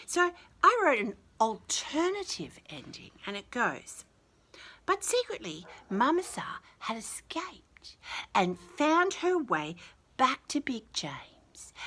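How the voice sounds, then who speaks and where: A woman reading a picture book to young children with chatty, gushing commentary and expressive, exaggerated emphasis. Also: fairly high pitch, takes it slowly. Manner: gushing, enthusiastic, talking to small children. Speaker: children's storyteller and host.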